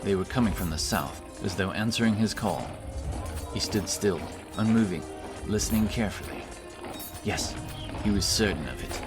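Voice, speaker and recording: A man narrating a scripted fantasy audiobook in a measured, dramatic way. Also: lowish voice. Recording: music bed under voice.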